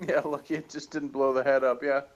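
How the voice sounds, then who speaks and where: A man's voice: mid-range voice, little pitch movement, brisk, dull audio. A man reviewing a TV episode in casual, rambling, jokey chat, often chuckling. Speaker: reviewer on a TV show review channel.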